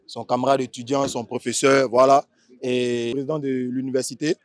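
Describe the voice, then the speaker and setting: A man's voice: medium pitch, level tone, moderate pace. A man interviewing people casually on camera.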